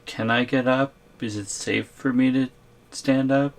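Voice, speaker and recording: A man playing a tiny, nervous boyfriend held by a giantess in an ASMR audio roleplay, hesitant and gently protesting. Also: mid-range voice, fairly even intonation, measured pace, lightly acted. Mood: anxious.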